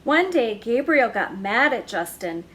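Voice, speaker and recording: A woman hosting a children's story hour, reading a picture book aloud in a gentle, expressive way with drawn-out emphasis. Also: fairly high pitch, a little hiss or hum.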